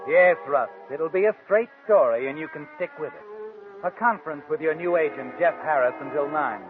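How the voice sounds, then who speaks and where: A man's voice: a little high, close to an everyday voice. An actor playing a sinister, all-knowing male narrator in a 1940s radio mystery, with an ominous, knowing delivery. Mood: mocking, knowing. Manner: ominous, knowing, deliberate phrasing. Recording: muffled vintage 1940s radio recording. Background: dramatic music.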